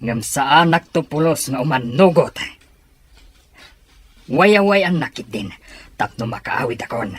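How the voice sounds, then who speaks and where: A man acts as a proud captive leader of a tribe in a drama. His voice is fairly high, husky and very animated. He speaks with emphatic, unbending defiance. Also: measured pace, pauses often, exaggerated voice. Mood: resolute, defiant.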